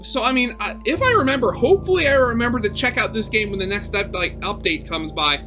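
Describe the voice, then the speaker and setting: A man's voice: sits high, animated, fast, sharp tone, faint music behind. A man reading a visual novel aloud as a gaming video host, with casual, rambling commentary.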